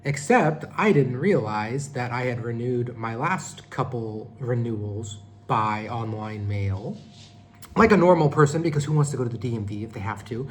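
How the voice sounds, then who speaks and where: A man's voice: mid-range voice, expressive, moderate pace, a little hiss or hum. A man in his thirties from California, talking casually and rambling a bit while giving a vlog update. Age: 30s. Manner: rambling, conversational, self-correcting. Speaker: vlogger.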